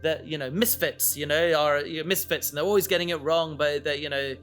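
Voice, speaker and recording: Guy cohosting a podcast, bright forward voice, rambly and stop-start, music underneath. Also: on the high side, steady tone, clean tone. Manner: rambling, halting, self-interrupting. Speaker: podcast co-host and audio drama creator. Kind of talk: casual podcast chat.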